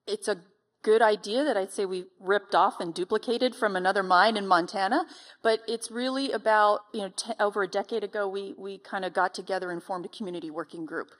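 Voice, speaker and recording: A woman's voice: medium pitch, a little fast, fairly bright tone. A woman explaining things as a company representative in a panel discussion.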